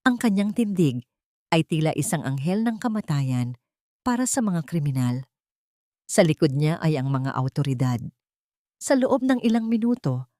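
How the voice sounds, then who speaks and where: A woman narrating a scripted dramatic story in a measured storytelling manner. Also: fairly low pitch, darkish tone, no echo, studio quality.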